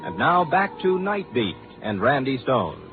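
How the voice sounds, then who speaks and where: A man's voice: medium pitch, big pitch swings, measured pace, over loud music. An American man working as a network announcer, reading a promo in 1950s radio style. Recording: narrow 1950s radio broadcast sound.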